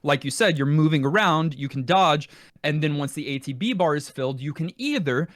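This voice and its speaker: A man's voice: fairly high pitch, expressive, fairly quick, a bit bright, no room sound, studio quality. A man co-hosting a podcast, talking casually as he reviews games. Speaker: podcast co-host. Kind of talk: casual game review chat.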